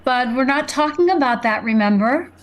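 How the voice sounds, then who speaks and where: A woman acting a gentle, practical but worried mother in a 1950s-style family radio comedy. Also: high-pitched, animated, normal speed.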